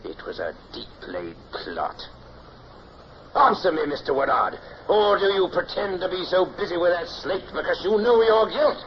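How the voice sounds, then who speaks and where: An actor playing a paranoid, tyrannical naval captain in an imperious, accusing manner, in 1950s radio drama style. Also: male voice, high-pitched, big pitch swings, moderate pace. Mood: furious, accusing. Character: paranoid, tyrannical male sea captain of the Napoleonic navy. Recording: noisy, dull old radio recording.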